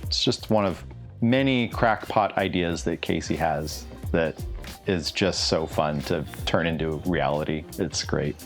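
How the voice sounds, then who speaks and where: A man's voice: low-pitched, unhurried. A man, a crew member, talking casually about a production in an interview. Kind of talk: casual behind-the-scenes interview. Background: music.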